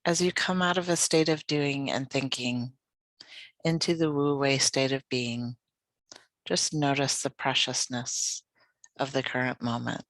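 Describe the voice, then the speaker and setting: A yoga nidra guide leading a guided meditation in a gentle, soothing, unhurried manner. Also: medium pitch, steady tone, no room sound.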